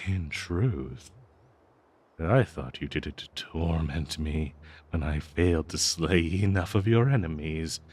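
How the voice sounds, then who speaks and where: A man voicing a possessive, bloodthirsty, lovesick talking cursed dagger in an audio roleplay, speaking to the listener in a theatrical, doting yet menacing manner with halting hesitations. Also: low-pitched, husky, full character voice.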